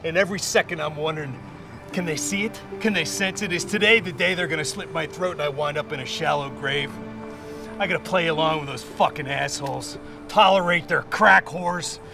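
A man's voice: sits high, a little fast, gravelly. An actor playing a tormented, agitated man among violent criminals, swearing bitterly in an intense screen drama. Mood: bitter, desperate. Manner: profane, agitated. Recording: distant mic, music underneath.

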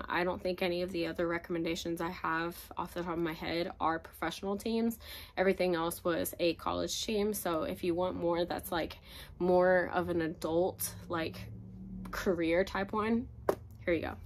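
A young woman gushes about her favorite books in a casual home vlog. Her voice sits a little low and keeps cracking as she gets over an illness. She fills her talk with "like" and "y'all.". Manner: chatty, gushing, frequent fillers and laughs. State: voice cracking after being sick. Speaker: book reviewer. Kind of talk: casual book recommendation vlog. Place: home room with bookshelves, indoors.

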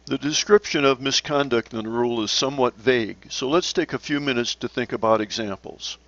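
A man narrating scripted slides as an instructor, in a measured, explanatory manner. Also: mid-range voice, a bit bright, highs cut off. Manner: measured, instructional, poses questions to the learner. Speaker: sailing instructor.

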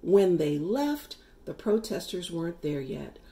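Middle-aged lady reading a kids book out loud, lowish voice, pitch swings a lot, really emphatic. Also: very animated, measured pace. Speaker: read-aloud storyteller. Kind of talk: expressive children's picture book reading.

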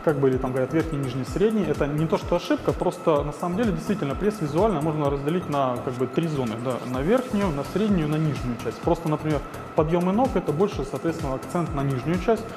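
Male trainer, 30s, lapel mic in a roomy gym, slightly high voice, music under. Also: lively intonation, quick pace. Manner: explanatory, with frequent "э" fillers. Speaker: fitness trainer. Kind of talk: gym workout tutorial. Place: large indoor gym. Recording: echoey gym with music behind.

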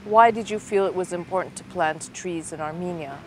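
A middle-aged woman hosting a television interview in a park, asking measured questions. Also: on the low side, a little hiss or hum. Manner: measured, warm-up questions with small pauses. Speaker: interview host. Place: outdoors in a city park.

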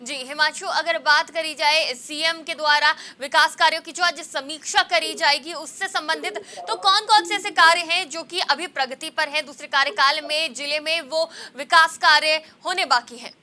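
Very high-pitched young female news anchor. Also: normal speed, hardly any pauses, pushed and bright. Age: young adult. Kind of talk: live news interviewing. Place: indoor TV studio.

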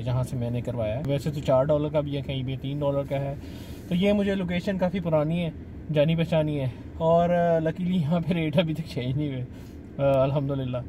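A middle-aged man from Pakistan, chatting casually in his vlog as he explains things slowly and keeps addressing the viewer politely. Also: on the high side, steady tone, noisy background. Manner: chatty, explains slowly, says "janab" often. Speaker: vlogger dad. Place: outdoors at a car wash and in a car.

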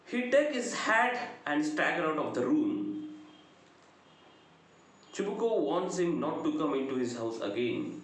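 A middle-aged man with a South Indian accent giving a lecture, retelling a story deliberately with pauses between phrases. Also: on the high side, big pitch swings. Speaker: English lecturer. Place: small bright indoor room. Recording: very echoey room, faint background noise.

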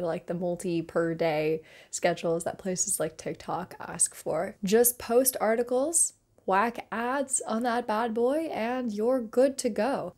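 A woman's voice: mid-range voice, darkish tone. A young woman with a stuffy head cold, chatting casually to camera as a video creator at home, upbeat and full of filler words. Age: young adult. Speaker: YouTube creator. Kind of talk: casual talking-head vlog. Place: home living room, indoors.

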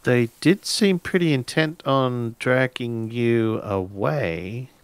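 A man's voice: medium pitch, slow, clear, resonant tone, dry recording. A man playing an earnest, awkward samurai in a tabletop role-playing game, speaking hesitantly. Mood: puzzled.

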